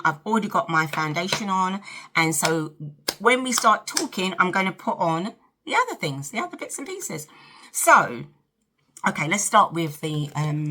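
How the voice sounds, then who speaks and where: A woman's voice: on the low side, bright, forceful tone. A woman in her fifties with a South London accent, chatting casually and directly to her viewers as she hosts a get-ready-with-me vlog. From South London, England. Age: 50s. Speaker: vlog host. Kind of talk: casual chat to camera during a get-ready-with-me.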